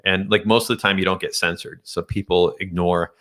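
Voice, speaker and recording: A man talking casually as an interview guest about finance and tech, halting as he thinks aloud. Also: low voice, steady tone, fairly bright tone. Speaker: guest, day trader.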